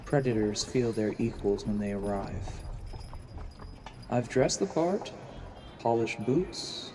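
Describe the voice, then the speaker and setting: A man playing a coldly calculating assassin who poses as a lord, narrating gravely and deliberately in a fantasy audio drama. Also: medium pitch, warm tone.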